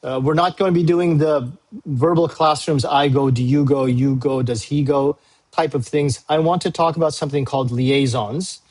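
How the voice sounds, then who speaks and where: A male university English teacher, a native Tagalog speaker, recording a class briefing video; he talks patiently, repeats key dates and fills pauses with "uh". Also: fairly high pitch, steady tone, a little mellow. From Philippines. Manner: frequent "uh" fillers.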